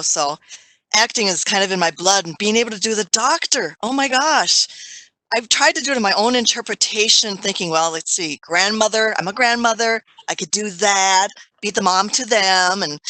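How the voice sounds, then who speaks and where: Bright-voiced mature woman, dry sound. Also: mid-range voice, dry recording, rough recording. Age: middle-aged or older. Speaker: amateur stage and radio actor. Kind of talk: casual panel chat.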